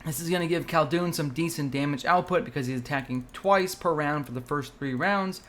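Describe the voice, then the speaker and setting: Man, somewhat high-pitched voice, gaming video host, analytical commentary, announcer-style hype, talks directly to viewers. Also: a little fast, a bit bright, slight background noise.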